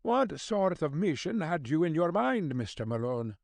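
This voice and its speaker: A man's voice: a little high, very animated, moderate pace, gravelly, dry recording. A man voicing a crabbed but kindly old Scottish news editor, fussy and avuncular, in an audiobook. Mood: doubtful, fussy. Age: older. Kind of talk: audiobook character dialogue.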